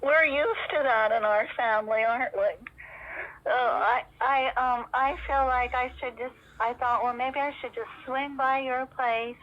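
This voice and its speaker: A woman's voice: high voice, fairly even intonation, unhurried. A middle-aged woman talking casually on a recorded phone call, rambling and hesitant as she goes. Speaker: caller in a personal phone conversation. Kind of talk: casual private phone chat. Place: telephone call. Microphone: telephone.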